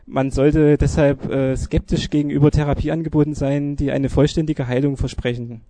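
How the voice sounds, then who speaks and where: A man explains things on a radio show in a measured, somewhat hesitant manner. His voice is extremely soft-edged and dark, sitting at a middle pitch. It is recorded dry and close. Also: no room sound. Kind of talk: informative spoken presentation on a radio show.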